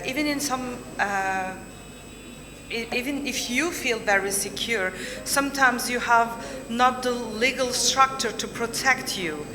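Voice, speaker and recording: A woman from Turkey, giving halting panel remarks at a conference, with many fillers and restarts. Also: on the high side. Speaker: conference discussant. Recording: echoey hall with background noise.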